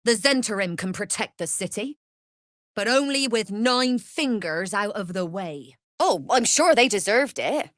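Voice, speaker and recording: A shrewd female smuggler-merchant from a fantasy video game. She talks unhurriedly, with pitch that rises and dips in lively sweeps. Her manner is sly, wry and all business, and the sound is close and dry. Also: unhurried, no echo. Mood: sly, pleased. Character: shrewd, worldly female smuggler-merchant of a criminal trade network. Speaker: trader and guild agent. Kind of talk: video game character dialogue.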